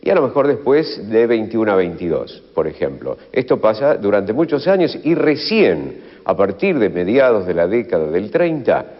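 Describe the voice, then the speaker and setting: An Argentine man explaining as an interviewed expert, halting and often rephrasing himself. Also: lowish voice, big pitch swings. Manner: halting, self-correcting. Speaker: radio history expert interviewee. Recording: dull, long reverberant room sound.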